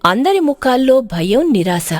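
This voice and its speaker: A woman's voice: high voice, sing-song, a little slow, a little mellow, dry recording, clean studio sound. A woman narrating a scripted moral story in a dramatic storytelling style.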